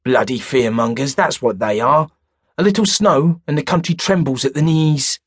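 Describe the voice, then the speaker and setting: A man's voice: mid-range voice, normal speed, husky, no room sound, clean studio sound, lightly acted. A man playing a grumpy wheezy old pub regular. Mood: grumbling scorn. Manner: grumbling, scornful.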